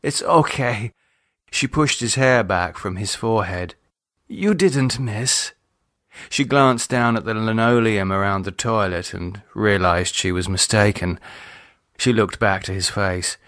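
A man narrating a novel in a measured, deliberate audiobook style. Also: on the low side, steady tone, fairly bright tone, dry recording, studio quality. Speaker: author reading own novel. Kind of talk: scripted audiobook narration, dramatised.

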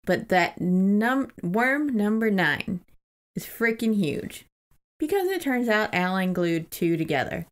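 A woman's voice: medium pitch, big pitch swings, slow pace. A woman co-hosting a podcast, casually retelling a book in an informal, giggly, lightly sweary way. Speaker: podcast co-host. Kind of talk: casual book discussion with retelling and read-out passages.

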